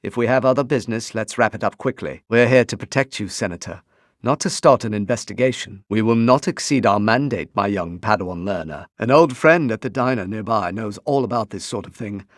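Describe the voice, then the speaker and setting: A man's voice: on the low side, a little fast, dry recording, lightly acted. A male actor playing a composed, wry Jedi master in a video game, with a refined British accent and dry, formal phrasing. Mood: measured, cautious. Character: composed, wry Jedi master, adult man mentoring an apprentice. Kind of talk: video game voice clips, short barks and dialogue lines.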